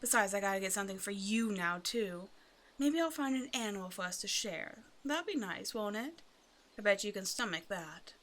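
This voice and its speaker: A woman's voice: medium pitch, animated, moderate pace, fairly bright tone, lightly acted. A woman playing a predatory female naga in an ASMR roleplay, talking to the listener with teasing, condescending, casual menace. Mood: casual, amused. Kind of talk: ASMR roleplay, one-sided scripted dialogue to the listener.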